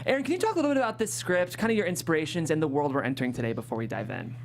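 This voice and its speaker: Woman hosting n reading stage directions, super animated intonation, noisy room. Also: deep voice, very fast. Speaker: host and narrator. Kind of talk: lively panel hosting and script reading.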